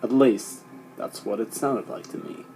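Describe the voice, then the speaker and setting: A man's voice: lowish voice, fairly even intonation, measured pace, music in the background. A man reading a first-person horror story as a scripted narration.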